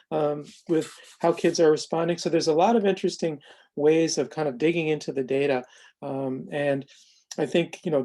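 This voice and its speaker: A man presenting an informal software demo in a halting way, as if thinking aloud. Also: fairly high pitch.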